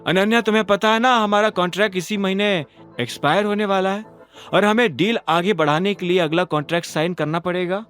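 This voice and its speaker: A man's voice: sits high, fairly quick, fairly bright tone, clear, resonant tone, soft background music, voice pushed up. A man playing a smug, condescending young businessman pressing his suit in an audio drama. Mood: veiled threat. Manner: smug, persuasive, sneering. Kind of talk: Hindi audio drama character acting.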